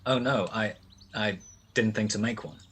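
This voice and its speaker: A man's voice: lowish voice, fairly even intonation, moderate pace, very noisy, natural voice. A man playing a hard-boiled private detective in a noir radio play, sardonic and world-weary. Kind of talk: 1940s noir radio narration and dialogue.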